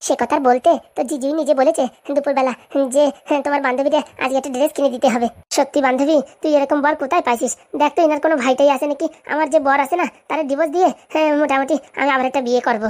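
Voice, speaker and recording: Woman as high, chattering, cheeky village woman. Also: fairly even intonation, rapid-fire, hardly any pauses, darkish tone, lightly acted. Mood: gushing, teasing. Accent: rural Bengali. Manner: gossipy, teasing. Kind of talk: comedy cartoon dialogue.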